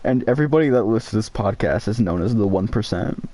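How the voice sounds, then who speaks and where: Lively, silly male podcast co-host. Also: lowish voice, quick pace, a little hiss or hum. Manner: silly, riffing, deadpan jokes. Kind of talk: joking casual chat.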